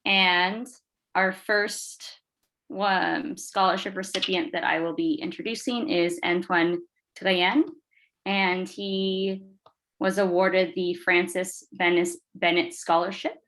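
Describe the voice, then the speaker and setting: Woman, presenter, slow unhurried delivery, lowish voice, video call. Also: fairly even intonation, fairly bright tone, rough recording. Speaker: event presenter. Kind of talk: introducing scholarship recipients.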